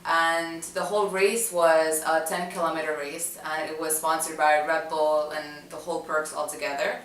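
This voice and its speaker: A young woman from Dubai with a low voice, telling her story in a loose, chatty way full of "um" and restarts. She sounds set back from the mic in an echoey room. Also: little pitch movement, normal speed, distant mic. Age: young adult. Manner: chatty, many fillers and restarts. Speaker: student interviewee. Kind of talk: casual recollection to camera.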